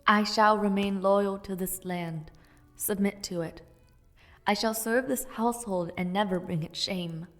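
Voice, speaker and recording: A woman's voice: mid-range voice, steady tone, a little slow, dry recording, soft background music, natural voice. A young woman voicing a spirited, playful noblewoman knight in an audio roleplay, chatty and teasing. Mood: solemn. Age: young adult.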